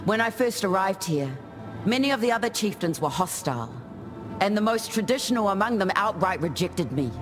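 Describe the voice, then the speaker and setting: A man playing an earnest, loyal outsider chieftain in a video game, speaking in a measured, reflective way. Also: high-pitched, lively intonation. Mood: proud, sincere. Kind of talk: video game character dialogue. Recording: loud music behind the voice.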